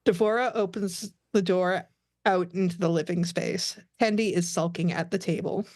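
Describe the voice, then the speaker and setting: A woman's voice: on the low side, steady tone, normal speed, a little mellow, no echo, clean studio sound. A woman narrating the stage directions of a script as scripted narration.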